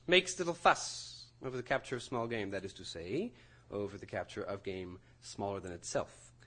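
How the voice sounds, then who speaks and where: An actor playing an intense, nervous young university student, lecturing formally and precisely in a theatrical audio drama. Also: male voice, mid-range voice, sharp tone. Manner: precise, formal, faltering under nerves.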